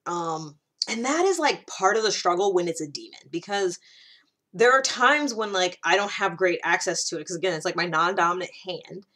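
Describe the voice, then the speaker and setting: A woman presents in a casual, explanatory way with a voice on the lower side. She talks informally, as if thinking aloud to her viewers, and tags her points with little check-ins. The delivery is that of an unscripted solo video. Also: a bit bright. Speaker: video presenter on personality typing.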